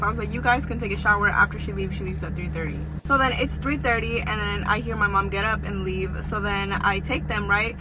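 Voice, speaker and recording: Young woman storyteller over clear background noise. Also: mid-range voice. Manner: frequent profanity, slangy and conversational. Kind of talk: casual personal storytime for social video.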